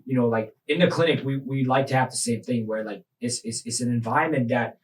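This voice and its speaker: Relaxed male podcast host. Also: mid-range voice, brisk, low-quality recording. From Thailand. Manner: relaxed conversational, frequent affirming murmurs, jokes and laughs. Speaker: podcast host, physical therapist. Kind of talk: podcast interview.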